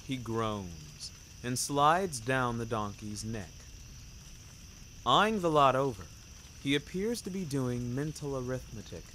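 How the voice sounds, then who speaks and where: A man's voice: mid-range voice, lively intonation, slow, pushed and bright, slight background noise. A man narrating a scripted first-person story in a wry, florid, dramatic manner. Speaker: narrator of a fiction podcast. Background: music under the voice.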